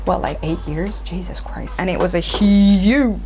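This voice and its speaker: A woman of around thirty, talking fast and rambling through a makeup vlog. She is recorded close to a microphone at home. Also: lowish voice, darkish tone, slight background noise. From born in Hungary, raised in England. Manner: quick, rambling, self-deprecating asides. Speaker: beauty vlogger. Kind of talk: chatty get-ready-with-me monologue. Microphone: microphone close to her.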